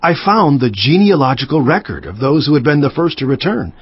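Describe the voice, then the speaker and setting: A man playing an earnest, resolute ancient governor recounting his memoir in a measured, emphatic dramatized scripture narration. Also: fairly high pitch, very animated, breathy edge, dry recording, heavily acted. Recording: dull sound with faint music underneath.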